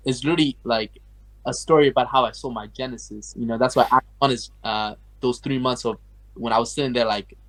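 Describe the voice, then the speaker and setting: A man's voice: medium pitch, rough recording. A young man from Afghanistan, a guest artist chatting casually and rambling, with lots of filler words as he tells his story. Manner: rambling, heavy use of like and you know. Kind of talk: casual interview chat.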